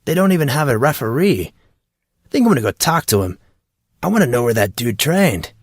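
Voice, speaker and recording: A man's voice: mid-range voice, very animated, a little fast, dry recording, clean studio sound, pitched above the performer's own voice. A man voicing a laid-back, enthusiastic teenage boy who talks in casual slang in an audiobook. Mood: excited. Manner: slangy, eager, casual bro-talk. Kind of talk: audiobook character dialogue.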